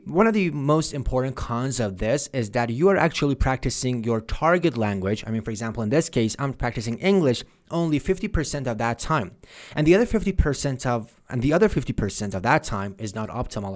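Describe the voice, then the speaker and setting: Earnest man explaining. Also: mid-range voice. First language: Persian. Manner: earnest, repeats and restarts phrases while explaining. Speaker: language-learning vlogger. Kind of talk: casual tutorial monologue.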